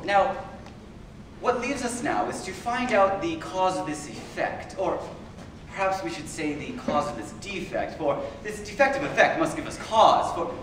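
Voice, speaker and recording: A pompous, rambling old courtier of classical comedy, acted by a man, speaks in drawn-out, repetitive phrases. The voice sits high and rings in a very echoey space, far from the microphone. The delivery is stagey and self-important. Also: sing-song, fairly bright tone. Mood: self-important, meandering. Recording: very echoey room, far from mic, faint noise.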